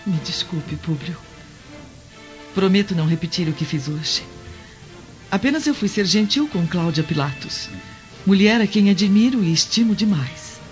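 An actress with a Brazilian accent playing a gentle, devoted senator's wife in a radio drama, with polite, pleading diction. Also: female voice, fairly low pitch, expressive, moderate pace, pauses often, natural voice. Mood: apologetic. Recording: dull lo-fi radio drama with music.

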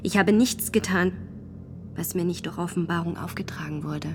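A woman's voice: lowish voice, normal speed, over music, close to an everyday voice. An actress in a radio drama playing a devout, defiant nineteen-year-old peasant woman on trial, steadfast and plain-spoken in manner. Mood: quietly defiant.

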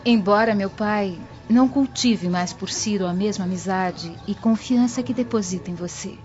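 A woman's voice: medium pitch, moderate pace, a little mellow, soft background music, close to an everyday voice. A woman playing a tender, polite young noblewoman in a radio drama. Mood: gentle. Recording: dull lo-fi radio drama recording.

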